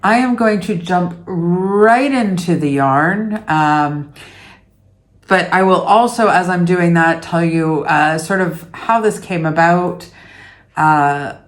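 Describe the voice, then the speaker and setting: A middle-aged woman from Canada, talking slowly and easily to the camera from her dining room. Her voice sits a little low, and she rambles with fillers and self-deprecating asides. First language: English. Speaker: yarn vlogger host. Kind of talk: casual chat to camera.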